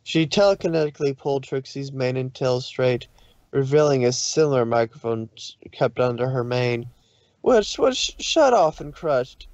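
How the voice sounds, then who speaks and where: A man narrating a scripted story, somewhat haltingly, with occasional stumbles over the words. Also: medium pitch, lively intonation, a little mellow, dry recording, highs cut off.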